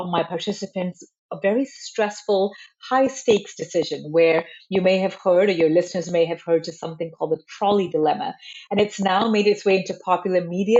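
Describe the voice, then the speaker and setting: A woman psychologist from Malaysia, answering interview questions in a measured, explanatory way. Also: on the low side, a little mellow, dull audio. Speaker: psychologist guest.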